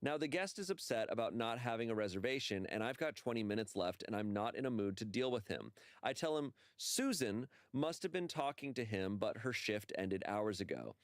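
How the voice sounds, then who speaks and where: A man's voice: fairly quick, hardly any pauses, no room sound, clean studio sound. A man co-hosting a podcast, chatting casually and reading posted stories aloud with playful asides. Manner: animated, jokey, acts out quotes in a lower voice.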